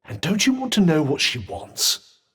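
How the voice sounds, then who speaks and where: Dry, pompous British magical companion, very animated. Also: male voice, on the high side, moderate pace, slightly rough, heavily acted. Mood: matter-of-fact, urging. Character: sardonic, haughty male talking magical vambrace companion. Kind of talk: video game companion dialogue.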